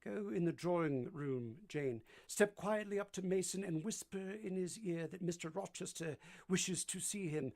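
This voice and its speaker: An actor playing a brooding, commanding gentleman master of a manor in an old-time radio drama, abrupt and imperious. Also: high voice, moderate pace, hardly any pauses. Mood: hushed, grave.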